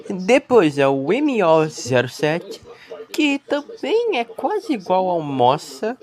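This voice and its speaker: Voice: mid-range voice, wildly swooping pitch, slow pace, darkish tone. A narrator with a Brazilian accent giving a casual, explanatory commentary voice-over as a video creator.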